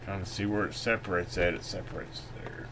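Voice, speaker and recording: A man with a fairly low voice narrates a hands-on repair in a casual way. He thinks aloud as he goes, unscripted. There is clear noise behind him in the recording. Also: fairly even intonation, brisk. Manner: off-the-cuff, thinking aloud while working. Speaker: electronics repair video host.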